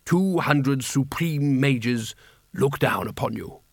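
A man's voice: medium pitch, lively intonation, slow, dry recording, clean studio sound. A man voicing a pompous, ambitious senior wizard in a storybook, intoning grandly. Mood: self-important.

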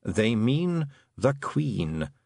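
A man's voice: medium pitch, very animated, very slow, gravelly, a little mellow, breathy edge, dry recording, clean studio sound, heavily acted. A man voicing a talking toad who explains things in a murmuring, matter-of-fact, knowing way.

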